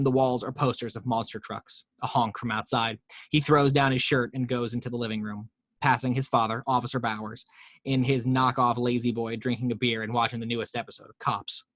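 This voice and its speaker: A man's voice: mid-range voice, a bit bright, no room sound. A man narrating screenplay action and scene directions as the reader in a scripted table read.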